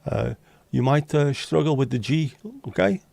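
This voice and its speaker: A man's voice: medium pitch, normal speed, vocal fry, darkish tone, no room sound. A man hosting a casual livestream, reading out chat messages and teasing viewers with jokey, lightly sweary banter. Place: home livestream setup.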